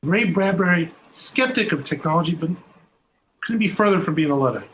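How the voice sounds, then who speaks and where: A man's voice: a little high, expressive, a little fast, fairly bright tone. A middle-aged man from Southern California with a Californian American accent, casually moderating a panel on a video call. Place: home room, video call. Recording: noisy video call room sound.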